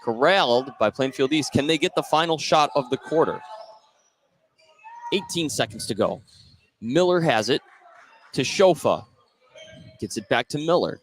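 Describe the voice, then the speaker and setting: A man doing live basketball play-by-play as the announcer, energetic and lifting his voice on scoring plays. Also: medium pitch, moderate pace, pauses often. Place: indoor gym at basketball tournament. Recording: noisy gym ambience behind voice. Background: gym ambience.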